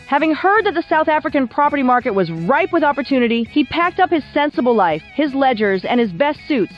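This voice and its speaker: Woman narrator, scripted documentary, animated melody, wry storytelling, slightly lo-fi sound, music underneath. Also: on the high side. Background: background music.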